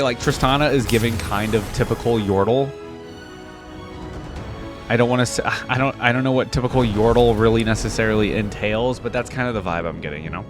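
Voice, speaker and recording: Young man with animated, lively intonation. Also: medium pitch. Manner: informal, musing aloud. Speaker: reaction video host. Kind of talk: casual reaction commentary.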